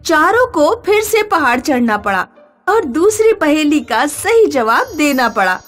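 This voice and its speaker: Female narrator for children's moral tales: high-pitched, very expressive melody, unhurried delivery. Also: faint music behind. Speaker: storyteller. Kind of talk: scripted children's story narration with voiced dialogue.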